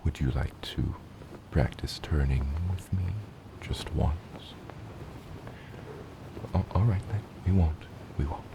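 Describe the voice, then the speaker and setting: A man acts out a gentle dragon father in an ASMR roleplay, extremely deep and unhurried. He is tender and proud, often slipping into breathy whispers as he coaxes his little hatchling. Noticeable ambient noise sits behind the voice. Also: exaggerated voice. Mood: playful, caring. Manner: slow, tender, encouraging, softly playful.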